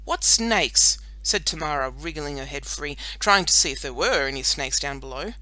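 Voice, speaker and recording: A woman narrating a children's audiobook in an expressive, scripted storytelling style. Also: sits low, brisk, dry recording, rough recording.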